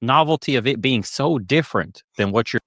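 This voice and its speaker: A man in a played podcast clip, giving opinionated commentary in a measured, lecturing way. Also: medium pitch, animated, fairly bright tone, no echo, clean studio sound.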